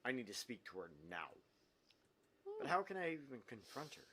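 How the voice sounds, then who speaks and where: A man's voice: mid-range voice, very animated, normal speed, bright, forceful tone, slight background noise, voice pushed up. Man voicing an anguished, vindictive visual novel protagonist, swinging wildly. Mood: distressed. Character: anguished, vindictive, obsessive young man narrating inner monologue. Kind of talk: dramatic visual novel read-aloud.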